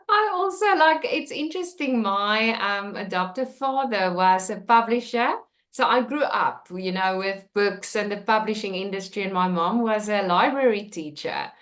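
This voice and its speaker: A woman's voice: medium pitch, expressive. A woman whose first language is Afrikaans, a guest author chatting casually and laughing often. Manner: laughs often, slow and warm. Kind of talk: casual conversation.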